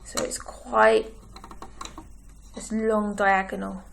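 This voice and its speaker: Woman tutorial host, croaky and gravelly, slow patient delivery, faint clicks behind. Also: mid-range voice, little pitch movement, a little hiss or hum. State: croaky, clearing her throat. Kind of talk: step-by-step craft tutorial.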